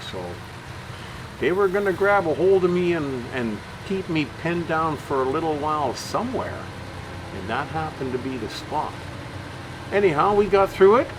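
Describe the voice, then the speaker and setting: A man's voice: mid-range voice, noisy background. A man giving casual gameplay commentary, explaining things in a folksy way and often repeating himself. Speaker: gaming channel host.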